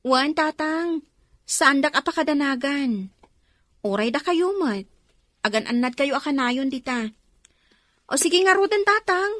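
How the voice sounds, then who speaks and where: A woman acting an earnest, soft-hearted young student in a radio drama, with emotional delivery. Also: high voice, lively intonation, unhurried, pauses often, dry recording, studio quality. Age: young adult.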